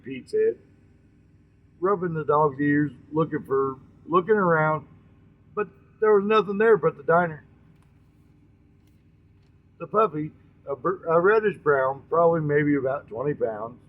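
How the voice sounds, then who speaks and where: A man with a somewhat high voice tells a story in a homespun, informal style. He talks straight to his listeners, often stumbling and correcting himself as he goes. The recording is dull and lo-fi. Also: animated. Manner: halting, often repeats and corrects words; folksy, addresses listeners directly. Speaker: storytelling host.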